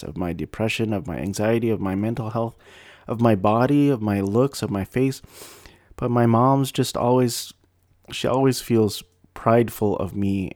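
Self-deprecating rambling man, low voice. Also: a little mellow, no room sound, studio quality. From United States. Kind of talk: casual personal storytelling.

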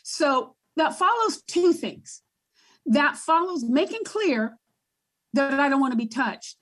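A woman with a booming voice speaking as a guest advocate in a panel discussion, emphatic and repeating herself as she works out her thoughts. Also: big pitch swings, takes it slowly, fairly bright tone.

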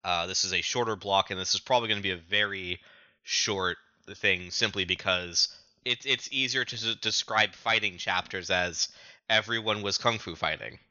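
Deep-voiced man, forceful and very bright. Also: moderate pace, no echo, muffled recording. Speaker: podcast co-host. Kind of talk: casual recap of manga chapters.

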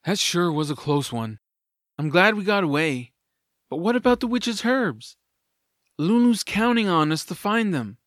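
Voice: medium pitch, lively intonation, unhurried, fairly bright tone, no echo, studio quality. A performer playing a young boy hero in an audio drama, earnest, kind and worried, speaking plainly. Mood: relieved, worried.

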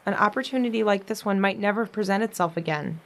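A woman acting in a modern audio drama as a gentle, ailing young sister, earnest and reflective, with quiet resolve. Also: mid-range voice, fast talker, natural voice. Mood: firm, urging.

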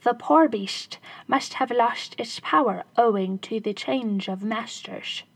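A woman voicing a clever, spirited little male shoemaker with a sly, pleading manner, in a gravelly, husky voice. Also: fairly high pitch, slow, a bit bright, dry recording, heavily acted.

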